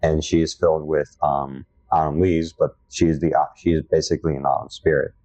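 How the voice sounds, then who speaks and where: Deep-voiced young man, casual horror chat. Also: flat delivery, brisk, mellow, dry recording. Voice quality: low voice. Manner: enthusiastic, self-described horror fanatic, rambling. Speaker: podcast co-host. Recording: dry, dull lo-fi sound.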